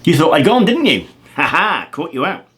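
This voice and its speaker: A man's voice: a little high, sing-song, moderate pace, fairly bright tone. A man voicing a doddery, teasing wizard from a children's storybook in a pompous, rambling way. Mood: gleeful.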